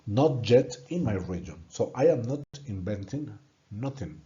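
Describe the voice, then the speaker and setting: A man from Colombia with a Colombian Spanish accent, a native Spanish speaker giving an earnest, halting presentation in English and repeating words when stuck. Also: fairly low pitch, very animated, warm tone, dull audio. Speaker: student presenting a course project. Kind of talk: prepared presentation narration.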